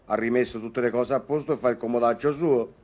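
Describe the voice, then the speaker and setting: A man's voice: mid-range voice, fairly even intonation, quick pace, a little mellow, close to an everyday voice. A man acting a simple, good-natured country farmhand, folksy and hesitant, in a vintage radio drama. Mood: folksy, sympathetic.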